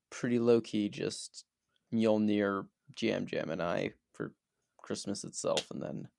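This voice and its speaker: A man's voice: on the low side, creaky, darkish tone, smooth, dry recording, clean studio sound. A man co-hosting a podcast in casual chat, playful and rambling, often restarting his sentences. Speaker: podcast co-host.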